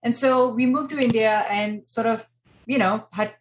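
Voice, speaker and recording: A woman's voice: fairly high pitch, fairly even intonation, moderate pace, slight background noise, low-quality recording. A woman in her thirties from Kuwait, telling her life story in a podcast interview with many fillers and restarts. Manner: conversational, many "you know" fillers and restarts. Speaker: interior designer, guest.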